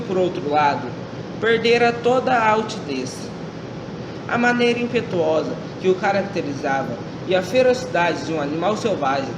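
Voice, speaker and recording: A man narrating a radio drama as the detective's companion, reading earnestly and steadily. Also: high voice. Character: male companion narrating the case. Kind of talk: scripted storytelling narration. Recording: echoey room with loud noise.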